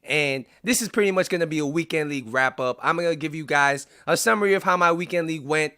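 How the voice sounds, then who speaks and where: A man talking through video-game commentary in a casual, chatty way, sounding raspy because he is under the weather. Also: on the high side, fast talker, pushed and bright. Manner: casual and chatty, with frequent "um" fillers. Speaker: YouTuber. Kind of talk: gaming commentary.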